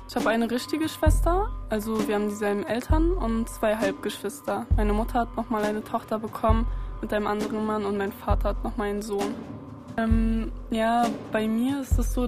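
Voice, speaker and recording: A young woman from Berlin, calmly telling her own story in a documentary interview. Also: on the high side. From Germany, Berlin. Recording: music playing under the voice.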